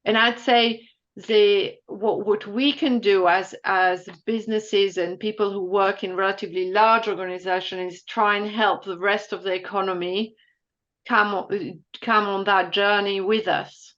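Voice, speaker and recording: A woman's voice: medium pitch, steady tone, a little slow, a bit bright, muffled recording. A woman economist thoughtfully answering questions in an online presentation.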